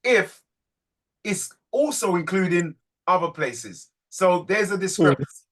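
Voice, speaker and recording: A man hosting a reading group on a video call, urging and animated, repeating phrases as he presses his points. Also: high-pitched, a little slow, low-quality recording.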